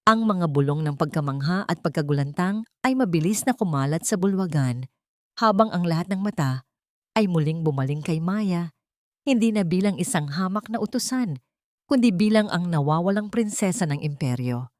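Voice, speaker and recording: A woman narrating a scripted story in a solemn, deliberate, dramatic manner. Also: lowish voice, lively intonation, dry recording, clean studio sound.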